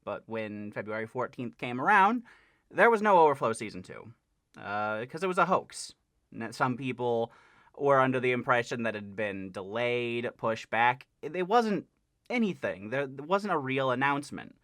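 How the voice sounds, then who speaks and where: A man's voice: medium pitch, animated, normal speed, fairly bright tone, no room sound, studio quality. A man talking casually as a channel host, explaining an update in a conversational way with stressed key words. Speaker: host of a parody dub channel giving an update.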